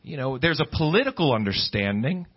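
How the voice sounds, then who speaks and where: A middle-aged American man preaching as a pastor, in emphatic, deliberate phrases with pauses. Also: medium pitch, big pitch swings, husky. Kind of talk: preaching a sermon to a congregation, reading scripture. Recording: dull, lo-fi sermon recording.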